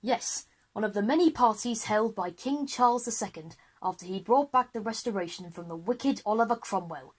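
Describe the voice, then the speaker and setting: Woman playing an eager, husky history teacher. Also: medium pitch, moderate pace, few pauses, lightly acted. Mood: informative. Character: eager, unflappable teacher leading a time-travel history lesson. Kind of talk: children's audio drama acting.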